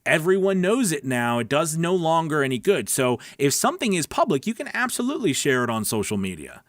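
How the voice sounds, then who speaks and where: A man's voice: fairly high pitch, very animated, a little fast, no echo, studio quality. A man hosting a live question-and-answer stream as a coach, talking casually and emphatically, repeating words and checking in with his listeners. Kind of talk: casual instructional livestream chat, answering viewer questions.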